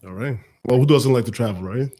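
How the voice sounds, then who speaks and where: A man's voice: mid-range voice, fairly quick, mellow, no echo, studio quality. A man from Guyana and Saint Lucia, a media business owner, casually introducing himself in conversation. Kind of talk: casual self-introduction in a group.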